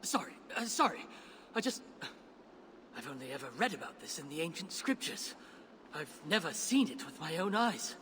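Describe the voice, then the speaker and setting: An actor playing an older dwarf smith, learned and earnest, speaking formally and with nervous deference in a video game. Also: male voice, a little high, sing-song, normal speed, sharp tone, slight background noise. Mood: nervous, apologetic. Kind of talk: video game cutscene acting.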